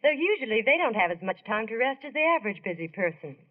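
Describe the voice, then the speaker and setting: Animated 1940s radio ad woman. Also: mid-range voice, quick pace. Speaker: radio ad spokeswoman. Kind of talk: 1940s scripted radio ad dialogue. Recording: muffled old radio recording.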